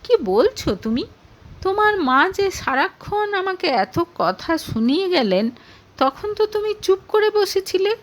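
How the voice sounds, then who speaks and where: A young woman in a romantic audio story, acted by a female narrator, hurt and standing her ground. Her voice is high and very animated, and she challenges with indignant, pointed questions. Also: a little slow, heavily acted. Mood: hurt, accusing.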